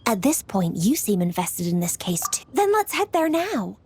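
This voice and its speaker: An actress playing a poised, businesslike young official in a video game, articulate, formal and composed. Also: female voice, mid-range voice, very animated, moderate pace, slight background noise. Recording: music under the voice.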